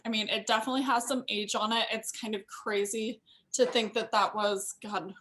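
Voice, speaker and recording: A woman with a slightly high-pitched voice speaks as the host of a virtual tasting. She presents casually and conversationally, as if chatting to the people watching. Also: steady tone, normal speed, a bit bright, a little hiss or hum.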